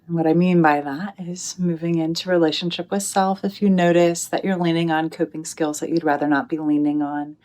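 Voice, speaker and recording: A woman leading a guided meditation in a gentle, soothing manner, with deliberate phrasing and pauses. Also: low-pitched, steady tone.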